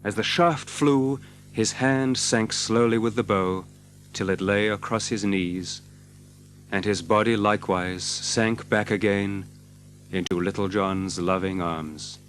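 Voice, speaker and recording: A man narrating an adventure story as a dramatic scripted audiobook, with expressive, measured literary delivery. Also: lowish voice, a little hiss or hum.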